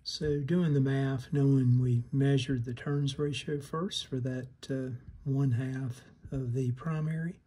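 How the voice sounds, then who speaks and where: Man with flat, husky, unhurried delivery. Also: mid-range voice. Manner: halting, thinking aloud. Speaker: host of a radio repair channel. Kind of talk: hands-on repair demonstration narration.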